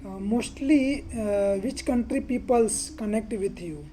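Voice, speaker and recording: Mellow-voiced Indian woman host. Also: fairly high pitch, slow pace, faint music behind. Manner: asks short questions, acknowledges with brief okays. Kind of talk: casual language-practice chat.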